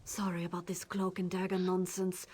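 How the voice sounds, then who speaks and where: A man playing a hushed, wary guard captain. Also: sits high, completely flat delivery, normal speed, slight background noise, lightly acted. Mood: hushed urgent. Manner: hushed, conspiratorial, urgent. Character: guarded middle-aged male town guard captain. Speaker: game character. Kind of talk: studio video game voice acting.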